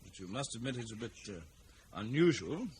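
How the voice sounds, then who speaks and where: An actor playing an earnest, polite, well-spoken young gentleman in an old-time radio jungle adventure. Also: medium pitch, big pitch swings, a little fast, lightly acted. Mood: reasoning, sceptical. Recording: noisy old radio serial recording.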